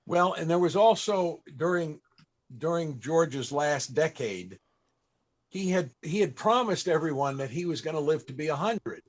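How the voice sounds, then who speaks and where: Higher-pitched man hosting a panel. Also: expressive, a little slow. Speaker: panel interviewer, entertainer. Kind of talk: conversational panel interviewing.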